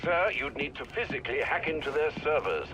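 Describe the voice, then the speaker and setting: A man's voice: fairly high pitch, animated, a little fast, highs cut off, noisy background, close to an everyday voice. A man playing an elderly, proper British butler in a video game, formal and dryly admonishing. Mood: concerned, reproving. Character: elderly, proper, concerned English butler with dry wit.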